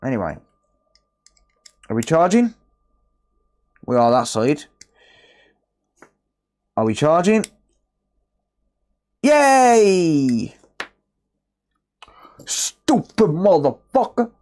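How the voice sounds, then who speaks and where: A man's voice: on the high side, very animated, very slow, long pauses, darkish tone. A man chatting casually on a live stream while repairing a console, sarcastic and swearing often as he answers his chat.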